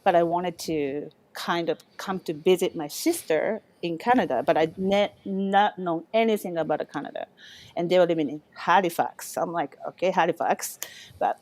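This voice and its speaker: Soft-spoken woman, halting speech. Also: on the low side. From Japan. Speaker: chef, guest. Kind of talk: casual interview chat.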